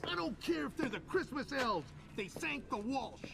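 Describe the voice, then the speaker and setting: An actor playing a hawkish, impatient military official in a video game, blunt and forceful. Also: male voice, very high voice, sing-song, moderate pace, vocal fry, exaggerated voice. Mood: angry, urgent. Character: hawkish, impatient male American military official demanding action. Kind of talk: video game cutscene acting.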